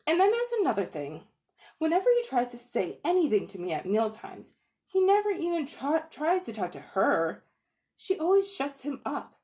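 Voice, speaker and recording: A woman voicing a miserable young boy in a storybook reading, talking in an upset, wound-up way, her voice raised higher than her narration. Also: wildly swooping pitch, normal speed, mellow. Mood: secretive. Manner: upset, wound up, near tears.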